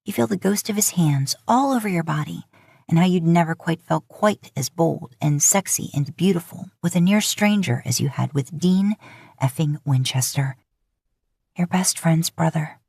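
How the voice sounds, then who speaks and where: Low, slightly husky woman narrating romance. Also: animated, moderate pace, a little mellow, no room sound, studio quality. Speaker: podfic narrator. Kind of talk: scripted second-person romantic story narration.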